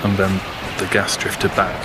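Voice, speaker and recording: Grim low-voiced veteran soldier, loud battle din. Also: male voice, monotone, measured pace. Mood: grim, weary. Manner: colloquial, unschooled grammar. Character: seasoned working-class British WWI soldier, grim and steadfast. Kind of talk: audio drama monologue. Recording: loud battlefield noise over the voice. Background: loud outdoor war ambience.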